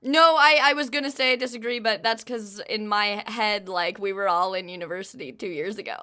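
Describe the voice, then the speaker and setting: A woman's voice: medium pitch, sing-song, moderate pace, sharp tone. A woman hosting a podcast, asking curious, probing questions in casual chat. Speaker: podcast host.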